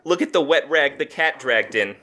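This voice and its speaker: Man playing a bright, cocky gangster. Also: on the high side, expressive, normal speed. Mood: mocking. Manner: breezy, mocking, slick talker. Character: cocky, chatty, smooth-talking male 1950s Irish mobster. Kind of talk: 1950s noir radio drama acting.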